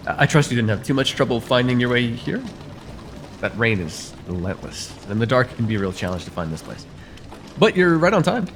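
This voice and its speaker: A man playing a courteous nocturnal vampire therapist in an ASMR roleplay, speaking formally and gently to the listener in a soothing, slightly hesitant way. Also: mid-range voice, a little hiss or hum. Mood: courteous, measured.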